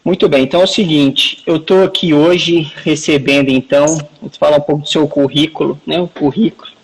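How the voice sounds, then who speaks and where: A man's voice: fairly high pitch, steady tone, fairly quick, slightly rough, poor audio. A man in his forties with a Minas Gerais accent, hosting a casual live video call from home, chatty and joking, with many restarts. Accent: Minas Gerais Portuguese. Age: 40s. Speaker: host of a live chat. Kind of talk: casual live-stream chat. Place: at home, near the wifi router.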